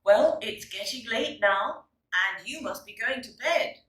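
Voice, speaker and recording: A woman puts on a disapproving storybook parent, fussy and scolding. The delivery is bright and forceful with emphatic swings of pitch, in a roomy space. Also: animated, a little slow, far from the mic. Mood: firm.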